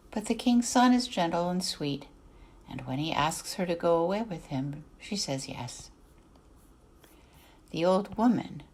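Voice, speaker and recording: Slow, creaky-voiced woman narrating a tale. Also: fairly low pitch. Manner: deliberate, dramatic phrasing, trailing off softly at phrase ends. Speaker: narrator, artist reading excerpts. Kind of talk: scripted storybook narration for adults.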